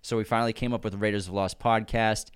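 A man's voice: fairly low pitch, steady tone, fast, clean tone, no echo, clean studio sound. A man with a nasal voice from the United States, chatting energetically as a guest on a podcast. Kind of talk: casual chat.

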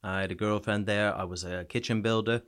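Man, low voice, Canadian accent with Irish twang, frank halting interview storytelling, matter-of-fact. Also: steady tone, fairly bright tone, clear, resonant tone. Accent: Canadian mixed with an Irish-sounding twang. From small town in New Brunswick, eastern Canada. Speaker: podcast guest, soldier back from war.

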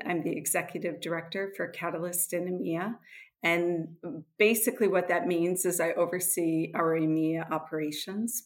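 A middle-aged woman with an American accent, answering interview questions in an earnest, explanatory way. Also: lowish voice. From Switzerland and USA. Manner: earnest, explanatory, occasional laughs. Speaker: guest, nonprofit executive director.

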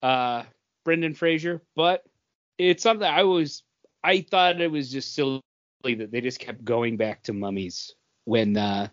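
A man's voice: mid-range voice, a little slow, no room sound, lo-fi sound. A man co-hosting a podcast in casual chat, rambling through his ideas. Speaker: podcast co-host.

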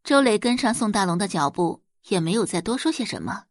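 A woman's voice: mid-range voice, big pitch swings, fairly quick, no room sound, clean studio sound. A woman giving dramatic scripted narration as the narrator of an audio drama.

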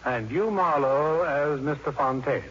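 A man's voice: fairly high pitch, measured pace, very noisy. A man hosting a 1950s radio drama with scripted narration. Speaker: radio producer and host. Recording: muffled vintage radio, music behind.

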